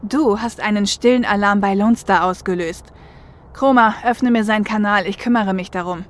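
A woman playing a seasoned, protective female runner in an audio drama, with measured, matter-of-fact delivery. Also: medium pitch, close to an everyday voice. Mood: businesslike. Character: seasoned female shadowrunner getaway driver, protective older sister.

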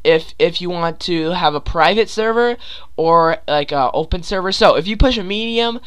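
A man's voice: high-pitched, moderate pace, fairly bright tone, smooth. Stuffy-nosed young man rambling through a tutorial. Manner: rambling, many fillers and restarts. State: stuffy nose and sore throat. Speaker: gaming YouTuber. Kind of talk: casual screen-recorded tutorial.

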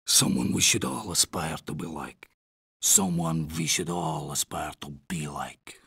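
A troubled, idealistic young Red Army man from a war video game. He speaks English with a Russian accent and a heavily gravelly edge. The recording is dry, with no room around the voice. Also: a bass voice, huge pitch swings, a little slow, pushed and bright, no echo, heavily acted. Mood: somber, reflective. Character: idealistic young Soviet soldier, troubled by brutality. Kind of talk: video game character voice lines.

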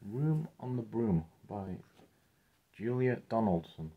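A man's voice: fairly low pitch, very animated, slow pace. A man in his thirties reading a children's storybook aloud expressively as storyteller, with muffled sound. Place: small curtained room indoors. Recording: muffled, narrow-band sound.

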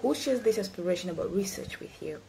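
A woman's voice: medium pitch, expressive, gravelly, darkish tone, slight background noise. Young woman from Tanzania giving a speech. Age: 20s. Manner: measured, earnest, read-from-notes delivery. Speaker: guest speaker. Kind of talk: prepared speech to a youth forum. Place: indoor room. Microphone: laptop webcam, video call.

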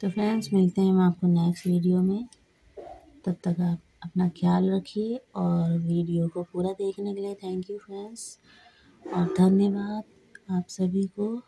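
A woman vlogger who is hoarse from a cold, talking slowly and plainly to camera while trying on socks at home. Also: lowish voice, flat delivery, warm tone, a little hiss or hum, rough recording. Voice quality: husky. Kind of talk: casual vlog talk to camera. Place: indoors at home, camera on the floor.

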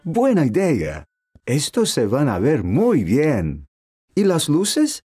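A man voicing a patient, kindly young father in a children's cartoon, gentle and encouraging. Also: on the high side, wildly swooping pitch, takes it slowly, slightly rough, heavily acted. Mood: helpful, upbeat.

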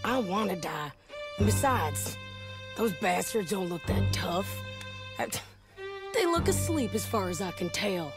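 A woman's voice: medium pitch, sing-song, measured pace, long pauses, music in the background. A woman acting a tough, reckless, bitter bounty hunter of the Old West in a video game, blunt and commanding, with an American accent. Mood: determined.

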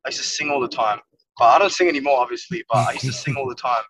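A man's voice: mid-range voice, racing, a bit bright. A young Welsh-born man, a music producer, chatting casually in an interview from inside a car and rambling with lots of "like" and "you know what I mean". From Wales. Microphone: phone or laptop camera on a video call. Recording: dull lo-fi video call audio from a distance.

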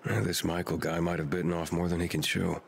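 Laconic male survivor, lowish voice, noisy ambience. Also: flat delivery, quick pace, creaky. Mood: wry. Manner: wry, laconic.

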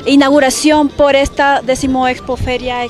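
A high-voiced man reports from the field in a formal announcing tone. He is caught off-mic and sounds distant. Loud music plays clearly behind him. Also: level tone, moderate pace.

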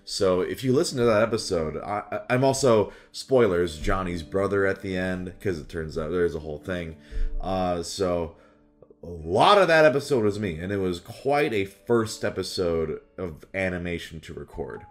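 Young adult male, low register, casual vlog host talking about his craft, animated delivery, soft music behind. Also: moderate pace. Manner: animated, slips into exaggerated character voices. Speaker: voice actor and video host. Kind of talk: casual chat to camera about voice roles. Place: small furnished room at home, indoors.

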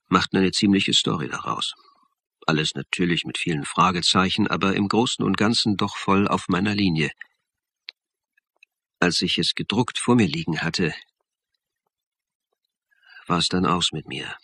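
An actor playing a middle-aged, conscientious and intense amateur sleuth in a German radio crime drama, husky and earnest. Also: male voice, low voice, a bit bright, no room sound, full character voice. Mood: somber, reflective.

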